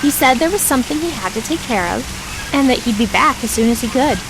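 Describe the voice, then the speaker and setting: A woman's voice: high voice, animated, quick pace. A woman acting a smug, casually teasing young local guide in an audio drama, breezy and knowing. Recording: constant rain-like hiss behind voice.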